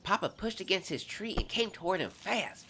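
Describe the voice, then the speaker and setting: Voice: medium pitch, expressive, moderate pace, sharp tone, a little hiss or hum. An actor voicing a young boy who earnestly narrates events into his journal in a scripted audio drama. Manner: earnest, confiding, storytelling.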